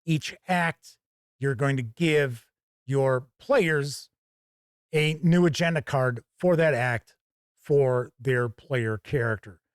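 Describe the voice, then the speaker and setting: A man hosting a gaming channel, giving a conversational review to camera in a folksy, measured way with emphatic phrasing. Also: mid-range voice, clear, resonant tone, no echo, clean studio sound.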